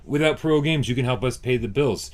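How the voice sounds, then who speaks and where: A man hosting a casual gaming podcast, stumbling over words and filling pauses with "uh". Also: medium pitch, noisy background. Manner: stumbling, repeats words, many fillers. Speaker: podcast host.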